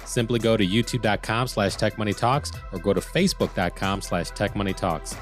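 A man's voice: fairly low pitch, brisk. Upbeat male podcast host, faint music. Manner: upbeat, encouraging, affirming. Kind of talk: casual interview hosting and outro.

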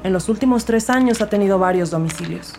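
An actress playing an earnest rookie woman detective, narrating her case in a measured, formal way in an audio drama. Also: medium pitch, dark tone, faint music behind, lightly acted. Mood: businesslike. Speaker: narrator and lead character.

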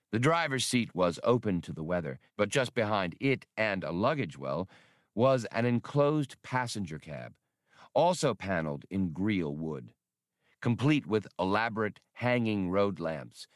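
Guy reading an audiobook, very lively intonation, dry close recording, dramatic storytelling. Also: on the low side, no echo. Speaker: audiobook reader. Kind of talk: scripted dramatic fiction narration.

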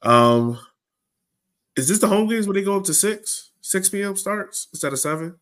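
A man's voice: high-pitched, expressive, normal speed, fairly bright tone. A man hosting a sports podcast, talking casually and bluntly in an opinionated, conversational way. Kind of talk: casual soccer fan podcast discussion.

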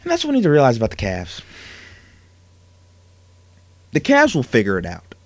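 Animated, emphatic male sports radio host. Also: mid-range voice, racing. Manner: emphatic, animated rants with rhetorical questions. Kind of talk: opinionated sports talk.

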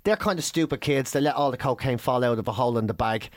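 A man from Ireland with an Irish accent, co-hosting a casual podcast, talking in an animated, ranting way with some swearing. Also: medium pitch, fast, no echo, studio quality. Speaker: podcast co-host.

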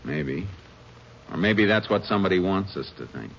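Low-voiced, terse Texas Ranger. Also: male voice, animated, gravelly. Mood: analytical. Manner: terse, deliberate, matter-of-fact. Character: calm, shrewd Texas Ranger investigating a murder. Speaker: lead actor and narrator. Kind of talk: 1950s radio drama acting. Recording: noisy, muffled 1950s radio recording.

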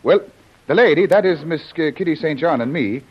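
An actor playing a bumbling, talkative guard in a vintage radio serial, stammering and repeating himself. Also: male voice, a little high, lively intonation, fairly quick, breathy edge. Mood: flustered, rambling. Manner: stammering, flustered, repetitive. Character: bumbling, garrulous, eager Irish guard, boastful underling. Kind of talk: 1940s radio serial acting. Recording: muffled old radio serial recording.

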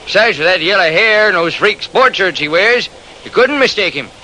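An earnest train conductor in a vintage radio play. He speaks deferentially but emphatically, in a high, very sharp and bright voice with slight noise behind it. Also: animated, normal speed, heavily acted. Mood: earnest explaining. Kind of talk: old-time radio drama acting. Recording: noisy old radio drama recording.

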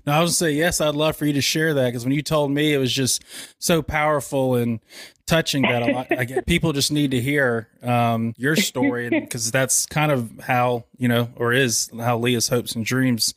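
A man hosting a podcast interview, introducing his guest in a halting way with many fillers. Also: mid-range voice, a bit bright, no room sound. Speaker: podcast host.

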